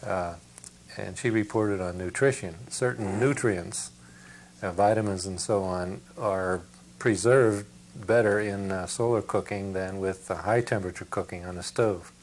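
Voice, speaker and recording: A man's voice: low voice, measured pace, a little hiss or hum. An older man on a studio panel who speaks thoughtfully and measuredly, with small hesitations. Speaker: panel guest. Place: TV studio set, indoors. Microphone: lapel mic.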